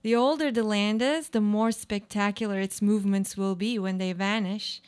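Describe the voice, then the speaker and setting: A woman acting a weary, guarded woman in a radio play, speaking literary monologue in a deliberate, declamatory way. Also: medium pitch, steady tone, a little mellow, clean tone, close to an everyday voice. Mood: quietly content.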